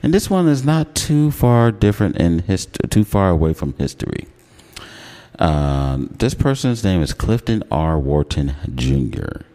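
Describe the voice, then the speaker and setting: A man with an American accent and a deep voice, hosting a casual, opinionated radio talk show and taking care to enunciate. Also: big pitch swings, measured pace, warm tone. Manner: enunciates words carefully.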